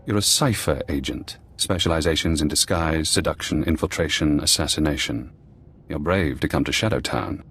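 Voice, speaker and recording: An actor playing a paranoid, calculating former spy in a video game, speaking in a cool, measured and cryptic way. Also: male voice, rumbling low, a bit bright, slightly rough, exaggerated voice. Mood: coldly probing. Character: paranoid, calculating imprisoned former spy analyst, adult man. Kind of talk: acted video game dialogue.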